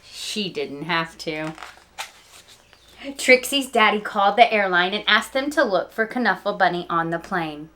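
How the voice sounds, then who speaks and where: A woman reading a picture book aloud to young listeners, expressive and speaking to them directly with small asides. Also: medium pitch, measured pace, slight background noise. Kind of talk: children's read-aloud storytelling with asides.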